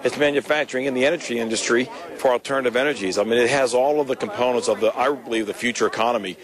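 A man speaking as a public official at an outdoor event, listing his points briskly. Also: mid-range voice. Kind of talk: outdoor speech soundbite. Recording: outdoors with crowd noise.

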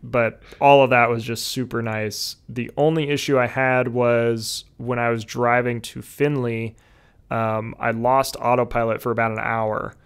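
A young man in his twenties chatting casually on a podcast video call, relaxed, with lots of fillers. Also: mid-range voice, measured pace, smooth. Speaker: podcast guest. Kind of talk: casual chat. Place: home room, indoors. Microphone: headset with video call.

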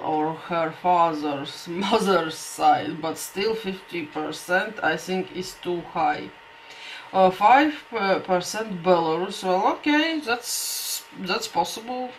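A woman's voice: lowish voice, slight background noise, low-quality recording. A middle-aged woman with a Latvian accent, talking casually to a webcam at her desk at home and thinking aloud with many restarts. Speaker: vlogger. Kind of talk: casual talk to camera. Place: small home room with shelves.

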